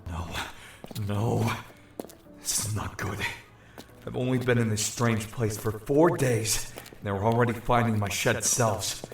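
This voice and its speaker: An actor voicing an anxious young shapeshifter from another realm in fretful audio drama monologue, muttering to himself. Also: male voice, fairly low pitch, a little slow, echoey room, slight background noise. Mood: worried. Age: young adult.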